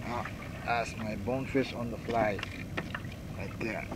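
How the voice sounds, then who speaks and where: A middle-aged man filming himself from a kayak on open water, calling out short excited reactions with whoops and laughter. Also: mid-range voice, unhurried. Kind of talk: live reactions while fishing. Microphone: action camera mounted on kayak. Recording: wind and water noise.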